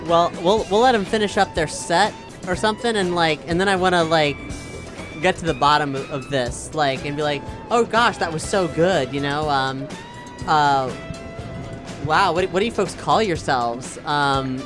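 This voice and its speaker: A man with a fairly high voice chats through a tabletop game as a player. He is tentative and thinks aloud, repeating words as he works things out. Music is clearly heard behind him. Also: moderate pace. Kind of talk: casual improvised game discussion. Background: background music.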